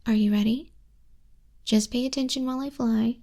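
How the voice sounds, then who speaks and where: A woman playing a stern but softening griffin flying instructor in a soft-spoken ASMR roleplay, haughty in manner, close on a binaural mic. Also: fairly high pitch, steady tone, normal speed, close to an everyday voice. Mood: brisk, instructive. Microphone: close binaural microphone.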